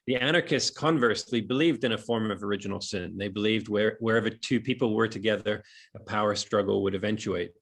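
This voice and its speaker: A man in his forties with a New Zealand accent worn mid-Atlantic by years away, reading a prepared reflection from his house over a video call. His voice sits on the low side, and he speaks in a steady, measured way. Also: creaky, slightly rough. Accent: New Zealand, softened toward transatlantic. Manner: hesitant and chatty at the start, then reads measured prepared text. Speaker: webinar speaker. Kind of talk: reflective prepared talk on a webinar. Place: room in his house overlooking a lough. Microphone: video call from home.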